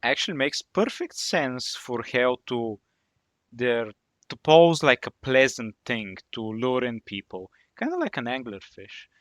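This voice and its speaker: A man's voice: mid-range voice, sing-song, a little slow, a bit bright, no room sound, clean studio sound. A man co-hosting a podcast, asking questions casually with fillers. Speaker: podcast co-host. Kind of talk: podcast interview.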